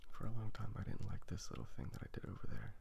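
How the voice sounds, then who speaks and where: A man chatting casually in a soft, whispery ASMR style, rambling informally and gently self-deprecating in a creaky voice. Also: lowish voice, sing-song, fast talker, slight background noise. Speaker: ASMR host drawing while chatting. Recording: phone recording with soft clicking.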